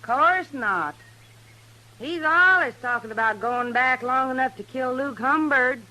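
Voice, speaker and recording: An actress playing a weary, plain-spoken mountain wife in a radio Western, with folksy Southern backwoods speech. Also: female voice, sits high, unhurried, sharp tone. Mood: plaintive, resigned. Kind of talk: old-time radio Western acting. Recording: noisy old radio recording.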